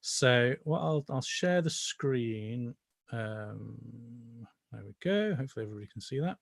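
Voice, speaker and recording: A man's voice: mid-range voice, expressive, a little slow, vocal fry, no room sound, clean studio sound. A man leading an online choir rehearsal over a video call, chatty and hesitant, with many fillers. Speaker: choir leader.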